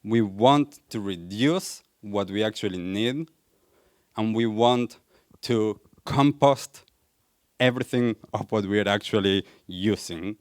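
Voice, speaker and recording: A young man with an Argentine accent speaks to a live audience in a low voice, earnest and questioning. The sound is close and dry, with little room echo. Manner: earnest, asks the audience questions, light laughs. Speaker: wellness coach and speaker. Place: event venue in front of a live audience.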